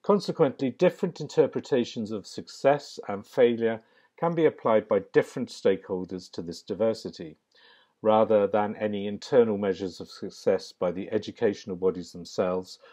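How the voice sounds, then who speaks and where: A man delivers a slide lecture in a low, mellow voice. He speaks in a measured, academic way and often pauses with an um. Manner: measured, academic, with frequent ums. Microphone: voice-over on slides.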